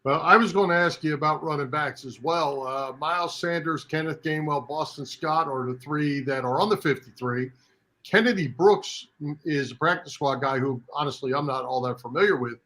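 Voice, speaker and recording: Man, fairly high voice, sports show co-host, casual talk. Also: moderate pace, sharp tone.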